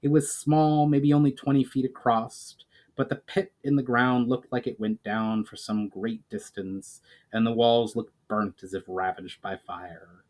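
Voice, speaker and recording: A man's voice: mid-range voice, fairly even intonation, a little slow, darkish tone. A man reading his own fantasy novel aloud as a dramatic, formal story narration.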